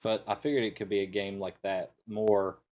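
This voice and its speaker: A man's voice: on the low side, level tone, normal speed, darkish tone. A middle-aged man co-hosting a casual talk show, thinking aloud in a tentative way. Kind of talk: casual chat.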